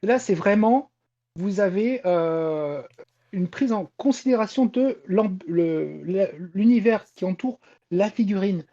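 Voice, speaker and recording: Female panelist, mellow tone, hesitant and wordy informal explanations, slightly dull lo-fi sound. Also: medium pitch, animated, takes it slowly. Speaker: podcast panelist and reporter on Japanese pop culture.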